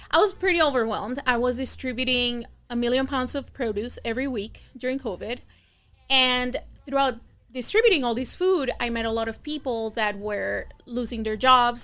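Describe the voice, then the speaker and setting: A woman's voice: fairly high pitch, a bit bright. A woman from Colombia with a Colombian accent, a food blogger chatting as a guest and often laughing. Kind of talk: casual interview chat.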